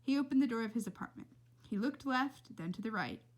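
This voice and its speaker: A woman's voice: a little high, animated, fairly quick, low-quality recording. A woman reading a storybook aloud expressively, with dramatic emphasis and casual asides. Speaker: read-aloud reader.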